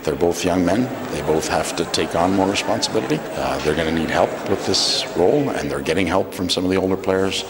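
A middle-aged man, a head coach, giving a measured, halting post-game interview into a handheld mic. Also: low voice, crowd noise. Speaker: ice hockey head coach.

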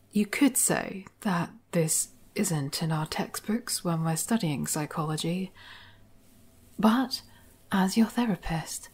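A woman playing a manipulative, obsessive therapist in an intimate ASMR roleplay, speaking softly and coaxingly, often in a whisper. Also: on the low side, breathy edge. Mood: assured, probing. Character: manipulative, obsessive female therapist, soothing and sly. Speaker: voice actor in audio roleplay. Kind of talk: intimate scripted ASMR roleplay, addressed to the listener.

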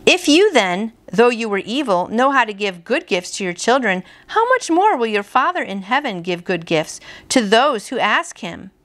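A woman's voice: on the high side, very animated, normal speed, hardly any pauses, a bit bright. A woman in her fifties hosting a devotional, reading aloud in an earnest, conversational way. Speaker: devotional host. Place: small furnished room at home, indoors.

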